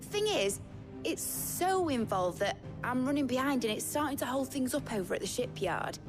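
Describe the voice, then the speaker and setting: An actress playing a brash, enthusiastic young engineer in a game cutscene, with a Northern English accent and colloquial, boastful delivery. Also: female voice, high-pitched, big pitch swings, normal speed. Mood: proud, eager. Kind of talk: lively game cutscene acting. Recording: music behind the voice.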